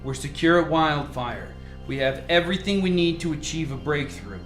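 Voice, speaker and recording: An actor playing a measured, authoritative lead scientist in a radio drama. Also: medium pitch, animated, fairly bright tone, echoey room, soft background music, lightly acted.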